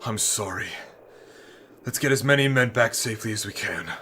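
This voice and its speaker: Stern, forceful commanding officer played by a man. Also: fairly low pitch, moderate pace, a little hiss or hum. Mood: stern, resigned. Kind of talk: fantasy audio drama.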